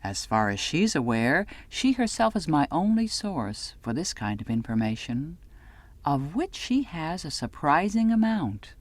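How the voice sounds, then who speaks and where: A woman reading scripted first-person literary fiction as an audiobook narrator, with measured, dramatic phrasing. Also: low-pitched, big pitch swings, no echo.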